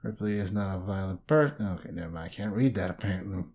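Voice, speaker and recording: A man's voice: low voice, quick pace. A man giving casual gameplay commentary in a playful, offhand mutter with jokey asides. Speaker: gamer commenting on a horror game playthrough. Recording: voice over loud game soundtrack. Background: loud game music and sound.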